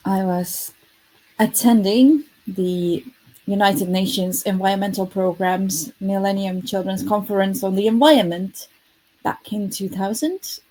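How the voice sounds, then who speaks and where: A woman presents her work live online. Her voice is warm and slightly husky. She is earnest and often stops to correct herself. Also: medium pitch, poor audio. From Estonia. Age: 30s. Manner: earnest, self-correcting, lightly self-deprecating. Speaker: futurist presenting her product.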